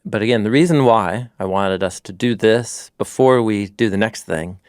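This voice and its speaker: A man's voice: lowish voice, normal speed, smooth, no echo, clean studio sound. A man co-hosting a podcast, talking through ideas in an earnest, reflective, conversational way. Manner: earnest, thoughtful, lively emphasis. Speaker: podcast co-host.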